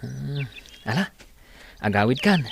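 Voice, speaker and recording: Friendly inquisitive village man played by a man, animated. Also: medium pitch, slow pace, gravelly, a little hiss or hum, heavily acted. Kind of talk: Ilocano audio drama acting.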